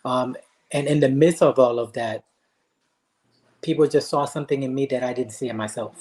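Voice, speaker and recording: A man from Haiti, guest and motivational speaker, telling his story earnestly with frequent pauses. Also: medium pitch, a little mellow. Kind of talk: interview answers, earnest storytelling.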